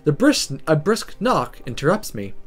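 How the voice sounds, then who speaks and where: A let's player reading a visual novel aloud in a dramatic, sometimes halting storytelling manner. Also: mid-range voice, sing-song, soft background music.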